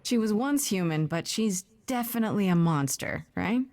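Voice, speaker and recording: A woman's voice: mid-range voice, expressive, moderate pace, a little mellow, no echo, close to an everyday voice. A woman acting a determined young heroine in a video game, earnest and dry, with an understated natural delivery. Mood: curious.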